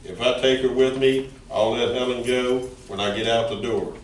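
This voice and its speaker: A man playing a smooth-talking, menacing gangster in an amateur stage reading. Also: medium pitch, level tone, normal speed, close to an everyday voice. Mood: threatening. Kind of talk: amateur community theatre reading. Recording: roomy, distant mic with background noise.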